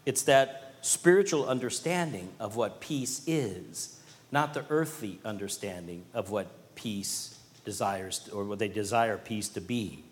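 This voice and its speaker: Man, pastor, preaching a sermon, emphatic swells and quiet drops, long hall reverb. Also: lowish voice, measured pace.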